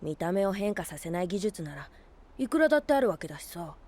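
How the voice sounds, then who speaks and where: A woman's voice: on the low side, big pitch swings, fast talker, darkish tone. Cocky, blunt boy. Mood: wry. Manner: rough, casual, brash boyish speech. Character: cocky, blunt boy who is secretly much older. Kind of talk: Japanese anime audio drama acting.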